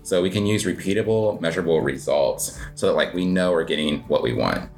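Low-voiced American man chatting casually. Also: brisk, vocal fry, darkish tone, faint music behind. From United States. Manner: rambling, informal, peppered with "like". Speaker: interview guest, coffee shop owner. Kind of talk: casual conversational interview answers.

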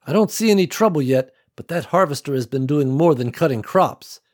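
A man voicing a steady, brisk young woman who leads a group over the radio. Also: on the high side, voice pushed up. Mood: alert.

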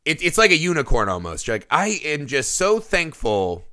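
Male podcast host, slightly high, bright-toned, excitable, laughs a lot. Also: normal speed. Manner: excitable, laughs often, frequent restarts and swearing. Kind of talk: casual film podcast chat.

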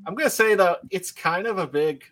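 A man's voice: high-pitched, a bit bright. A man in his thirties chatting on a livestream, often repeating words as he gets going. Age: 30s. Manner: halting, repeats words as he starts. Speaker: podcast co-host. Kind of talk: casual livestream chat.

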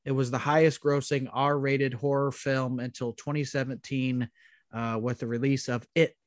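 A man co-hosting a casual podcast, chatting and reading out trivia in a halting way. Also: mid-range voice, level tone, no echo, studio quality. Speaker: podcast co-host.

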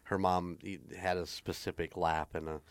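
A man's voice: low-pitched, level tone, moderate pace, clear, resonant tone, dry recording, clean studio sound. A man co-hosting a podcast, chatting casually and joking, rambling with restarts. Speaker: podcast co-host. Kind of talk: casual chat.

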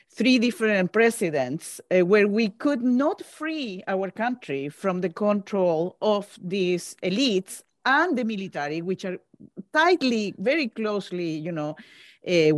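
A woman's voice: mid-range voice, dry recording, studio quality. A woman in her fifties from Chile with a Chilean Spanish accent, hosting an interview over a video call and searching for her words with many fillers. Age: 50s.

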